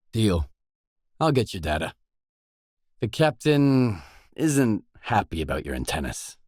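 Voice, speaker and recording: An actor playing a guarded, weary mercenary in a video game, speaking in blunt, matter-of-fact lines. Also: male voice, on the low side, a little mellow, no echo, studio quality.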